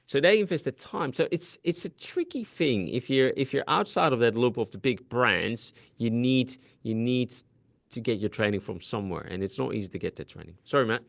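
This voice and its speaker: A man's voice: medium pitch, normal speed, vocal fry, dry recording, clean studio sound. A man from the Netherlands with a Dutch accent, presenting in a webinar in a direct, earnest way with frequent restarts.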